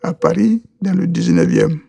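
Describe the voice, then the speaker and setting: A man from Côte d'Ivoire with a raised, gravelly voice and a dark, husky tone, answering interview questions. He talks slowly, restarts often and repeats words as he goes. The sound is dry and close. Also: sits high, huge pitch swings, no echo. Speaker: podcast guest.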